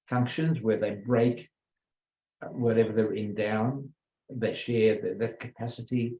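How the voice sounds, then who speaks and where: An older man giving an informal biology lecture, halting with many fillers and self-corrections. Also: fairly low pitch, warm tone. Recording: echoey room, dull lo-fi sound.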